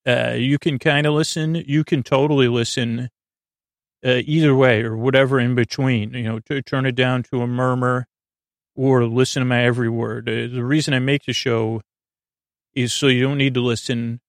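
A man hosting a sleep podcast, rambling in a meandering, stammering monologue with frequent digressions and a gravelly, creaky voice. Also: mid-range voice, little pitch movement, normal speed, no echo, clean studio sound. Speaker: podcast host.

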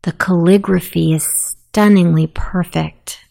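A woman narrating a guided sleep meditation in a soothing, softly spoken, unhurried manner. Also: lowish voice, fairly even intonation, creaky.

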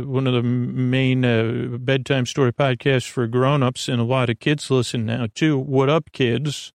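Gravelly-voiced man rambling at bedtime. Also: mid-range voice, no echo, clean studio sound. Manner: meandering, halting, repeats and trails off. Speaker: sleep podcast host.

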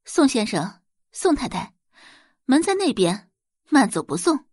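Unyielding lady teacher played by a woman, formal and composed, lively intonation, slightly husky, audio drama. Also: sits high, measured pace, no room sound, studio quality, pitched above the performer's own voice, full character voice. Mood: cool dismissal. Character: firm, polite, unyielding female high school teacher.

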